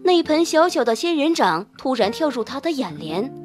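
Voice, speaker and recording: A woman's voice: sits high, very animated, moderate pace, music in the background. A woman narrating a novel in a scripted, dramatic audiobook style.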